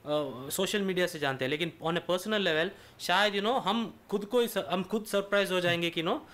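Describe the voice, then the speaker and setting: A man co-hosting a casual podcast chat, speaking halting Hindi laced with English words and many "you know" fillers. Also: high-pitched, a bit bright. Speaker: podcast co-host.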